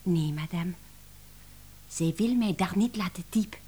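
An actress playing an excitable, principled young French cook with a French accent, dramatic and indignant, in a radio drama. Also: female voice, lowish voice, a little slow, husky. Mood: indignant, animated. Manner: dramatic, indignant, sprinkles speech with French exclamations.

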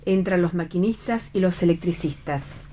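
A woman's voice: lowish voice, moderate pace, mellow. A woman narrating a radio play, reading stage directions as scripted narration.

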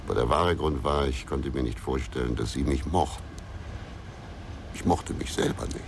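Gruff investigator, extremely low gravelly voice, brooding and terse, radio crime drama with some background noise. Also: male voice, moderate pace. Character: gruff, brooding male investigator, haunted by a lonely childhood.

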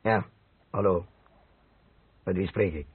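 A curt, menacing male crook in an old radio play. His voice is low and strongly husky, with sarcastic impatience. It sounds thin, like a telephone. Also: slow pace, dry recording, narrow, tinny audio, full character voice. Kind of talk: radio drama acting.

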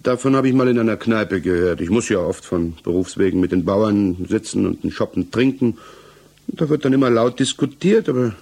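A man's voice: lowish voice, lively intonation, fairly quick, gravelly, a little mellow, husky, heavily acted. An actor playing a guarded, evasive village man under interrogation in a 1960s German radio play, answering plainly and defensively.

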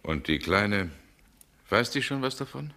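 Radio drama role: worldly sceptic, deep and incisive, terse incredulous questions, swinging intonation. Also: male voice, animated, a little slow, bright, forceful tone, heavily acted. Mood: sceptical, mocking. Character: sceptical, worldly gentleman, coolly mocking his visitor. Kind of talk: 1960s German radio play acting.

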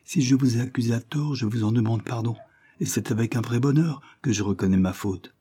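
Un homme jouant une jeune bonne ferme. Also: male voice, medium pitch, warm tone, husky, pitched above the performer's own voice, natural voice. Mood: earnest. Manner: firm, measured questioning. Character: pale, firm young nursemaid.

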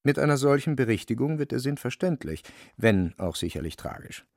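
A man narrating a literary radio play in a measured, wry manner. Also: mid-range voice, very animated, darkish tone, no echo, studio quality. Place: studio, very dry.

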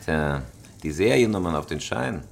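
Wary professional thief: very low, terse, controlled, with widely moving intonation, some ambient noise. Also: male voice, expressive, normal speed, vocal fry. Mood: wary, placating. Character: cool, calculating male professional robber, wary. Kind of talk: crime radio drama acting.

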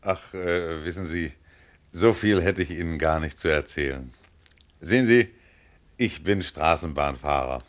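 A man's voice: sits low, unhurried. An actor playing a modest, contented tram driver of about forty in a German radio play, plain-spoken, chatty and proud of his work. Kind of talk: German radio play acting, conversational monologue.

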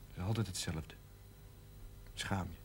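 An actor playing a resentful, sceptical brother in a television drama, sarcastic and grumbling. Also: male voice, low voice, unhurried, a little hiss or hum. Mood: sour, resentful. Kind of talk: television period drama acting.

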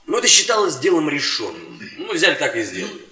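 A man's voice: fairly high pitch, wildly swooping pitch, quick pace, sharp tone, faint music behind, heavily acted. An actor playing a polite, tired, defensive younger man, hesitant and repeating himself, in a Soviet stage radio drama. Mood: confiding, subdued. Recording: echoey stage, distant microphone.

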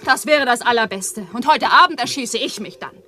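An overwrought young woman in a 1980s radio drama. Her voice sits a little high and swings from hushed, near-whispered lines to loud outbursts. She sounds bitter and worn down. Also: lively intonation, normal speed, a little hiss or hum. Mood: intense. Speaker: actress in a radio play.

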